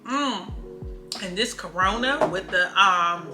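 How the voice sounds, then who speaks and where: A woman's voice: medium pitch, lively intonation. A woman, talking candidly to camera in a casual motivational vlog. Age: 30s. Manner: candid, chatty, drawn-out emphatic phrases. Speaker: vlogger. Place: room at home. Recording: echoey room with faint music.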